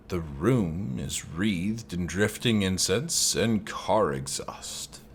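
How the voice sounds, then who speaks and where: A man reading a horror story aloud in a slow, dramatic narration style. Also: deep voice, noisy background.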